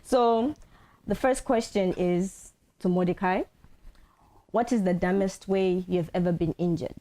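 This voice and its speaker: Mellow, low-voiced young woman hosting. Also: steady tone, a little slow. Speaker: talk show host. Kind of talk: friendly informal group chat.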